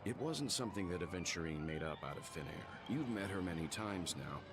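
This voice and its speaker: A composed, mature gentleman from a fantasy game. The voice is very low, and the delivery is serious, measured and analytical. Music plays clearly underneath. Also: lively intonation. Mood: grave, pondering. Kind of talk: scripted game dialogue.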